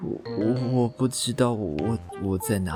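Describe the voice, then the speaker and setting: A man's voice: on the low side, normal speed, music in the background, natural voice. An actor playing a flustered, stubborn young man with no sense of direction in an audio drama, stammering when upset. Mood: lost, flustered.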